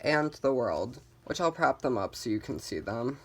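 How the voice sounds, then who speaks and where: A tarot reader hosting a casual card reading, talking in a calm, reassuring way and guiding viewers, with frequent "um" fillers. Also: mid-range voice, normal speed. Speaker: tarot reader, channel host.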